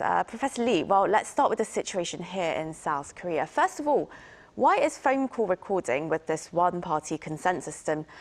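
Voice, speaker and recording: A woman with a fairly low voice hosts a news discussion programme. She delivers scripted introductions and questions in a formal broadcast interview style.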